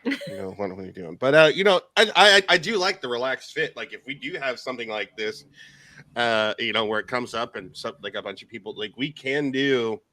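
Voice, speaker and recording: Jokey rambling man, bright dry voice. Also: medium pitch, animated, fairly quick, no echo. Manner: rambling, jokey, lots of fillers and restarts. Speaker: podcast co-host. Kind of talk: casual chat.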